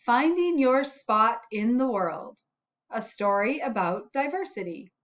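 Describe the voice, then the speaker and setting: Lady principal doing a kids read-aloud, slow careful talking, bit high voice, slightly muffled audio. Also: lively intonation, fairly bright tone. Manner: addresses young children, simple deliberate phrasing. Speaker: school principal reading aloud to pupils. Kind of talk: children's storybook read-aloud.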